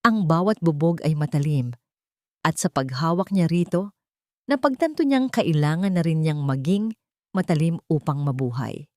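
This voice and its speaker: A woman's voice: low voice, big pitch swings, normal speed, no room sound, studio quality. A woman reading a scripted, dramatic love story as narrator.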